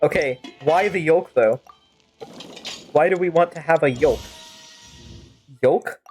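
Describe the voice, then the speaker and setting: Wry, low-voiced woman streaming a game. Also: slow pace, a little mellow. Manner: wry, teasing. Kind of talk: improvised gameplay commentary with joking in-character replies. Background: game music.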